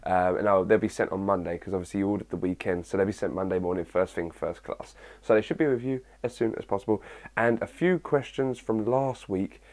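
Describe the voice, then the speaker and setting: A young man with a husky early-morning voice, chatting casually to his viewers, answering their questions and rambling with lots of fillers. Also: low-pitched, brisk, darkish tone. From England. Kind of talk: casual chat to camera.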